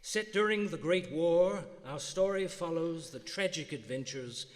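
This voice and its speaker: A man's voice: fairly high pitch, lively intonation, a little slow. A man playing an urbane, macabre angel of death who hosts a radio horror show, courteous, formal and droll. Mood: solemn. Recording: live theater, long hall reverb.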